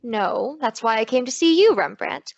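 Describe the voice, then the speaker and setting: A woman's voice: on the high side, expressive, normal speed, vocal fry, no room sound. A woman playing a sassy, breezy lady detective with wry quips in an old-time radio drama. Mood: playful. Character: sassy, wisecracking lady private detective, confident and flirtatious. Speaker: detective lead and narrator. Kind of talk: old-time radio detective acting and first-person narration. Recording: dull lo-fi audio.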